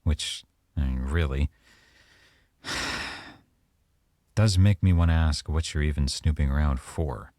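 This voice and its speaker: A man playing a teasing, coy young mafia boyfriend with veiled menace, in an unhurried, intimate ASMR roleplay. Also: a bass voice, a little mellow, no room sound, studio quality. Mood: quietly threatening.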